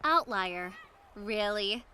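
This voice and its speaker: A woman's voice: medium pitch, lively intonation, very slow, pushed and bright, a little hiss or hum, exaggerated voice. A woman playing a brash, sarcastic, unimpressed teenage heroine in an anime-style audio drama. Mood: mocking. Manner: sarcastic, confrontational.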